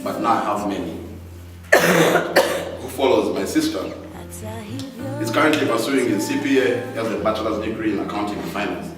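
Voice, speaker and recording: A man's voice: fairly low pitch, expressive, normal speed, pauses often. A young man from Uganda giving a heartfelt speech of thanks to an audience in a large hall. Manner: warm and grateful, addressing the crowd directly. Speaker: speaker thanking supporters at an event. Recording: echoey hall, distant mic, loud music.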